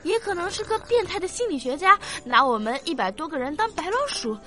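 High-voiced, inquisitive little girl. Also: sing-song, a little fast, a bit bright, full character voice. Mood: eager arguing. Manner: earnest, reasoning like a little scholar. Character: curious, argumentative young daughter, precocious and stubborn. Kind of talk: science-fiction radio drama acting.

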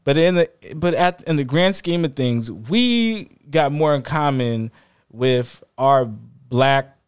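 Man, podcast co-host, casual chat, animated, rambling, fairly high voice. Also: slow, smooth. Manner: animated, rambling with many restarts.

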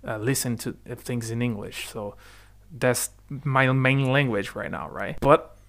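Animated man, bright voice. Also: mid-range voice, measured pace, creaky. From Brazil. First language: Portuguese. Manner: animated, talks in restarts and asks "right?" often. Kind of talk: casual conversation. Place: lives in the UK.

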